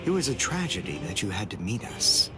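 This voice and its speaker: A man's voice: on the low side, very animated, a little fast. A man voicing a proud young warrior in an anime game, composed, formal and condescending. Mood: cold disdain. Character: cool, proud young male archer warrior, disdainful and precise. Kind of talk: anime game cutscene dubbing.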